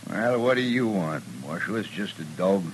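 Low-voiced menacing hired gunman. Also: animated, moderate pace. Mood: edgy, defiant. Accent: American. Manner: curt, defiant, cagey. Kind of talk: 1950s radio western acting. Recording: noisy old radio recording.

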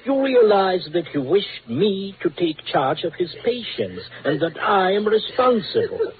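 An actor playing an officious, solicitous doctor, formal and emphatic, in theatrical 1940s radio drama. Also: male voice, high-pitched, big pitch swings, unhurried. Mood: urgent, insistent. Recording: muffled old-time radio recording.

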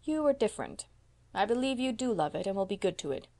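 A woman doing a brisk, proud old spinster. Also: mid-range voice, sing-song, dark tone. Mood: emphatic. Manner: emphatic, decisive.

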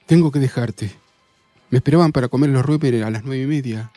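A man's voice: medium pitch, fairly quick, warm tone, faint music behind, natural voice. A man with an Argentine accent playing a polite, earnest, smitten dinner guest in a radio play. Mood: torn, earnest.

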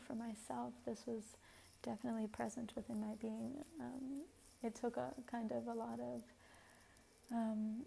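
A woman with a very soft voice giving a gentle, reflective spiritual talk as a host, often pausing with fillers. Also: on the high side, creaky, slight background noise, low-quality recording. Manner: gentle, hesitant, many fillers.

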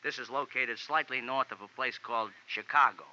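An actor playing a little racetrack character who talks in stilted, formal slang in 1940s radio style. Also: male voice, mid-range voice, brisk, pushed and bright. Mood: earnest, emphatic. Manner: stilted formal diction without contractions, slangy flourishes. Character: eccentric horse-loving racetrack character, little man, wordy and formal. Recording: dull, noisy 1940s radio recording.

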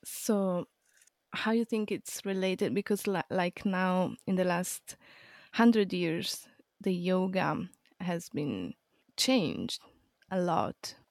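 A woman's voice: fairly low pitch, animated, slow pace, gravelly, a little mellow, dry recording. A woman hosting a podcast on yoga, welcoming her guest and asking plain questions in a friendly way. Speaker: podcast host. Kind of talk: podcast interview.

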